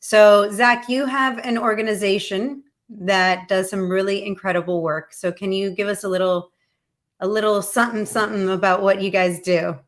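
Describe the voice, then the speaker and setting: A woman host from Cuba, bubbly and playful. Her voice sits at an ordinary middle pitch. She laughs easily through her casual talk. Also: steady tone, normal speed. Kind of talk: casual chat.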